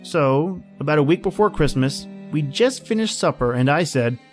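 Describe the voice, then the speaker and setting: A man's voice: a little high, expressive, normal speed, faint music behind, natural voice. An actor playing a plain-spoken man at a snowbound outpost, telling his story in a folksy, conversational old-time radio style. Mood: reflective.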